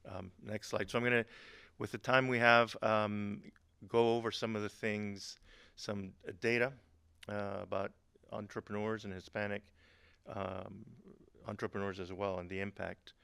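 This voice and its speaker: Low, gravelly man from Honduras. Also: level tone, a bit bright, no room sound. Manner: halting, many fillers and restarts, light humorous asides. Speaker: government agency speaker, veteran. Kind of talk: webinar presentation.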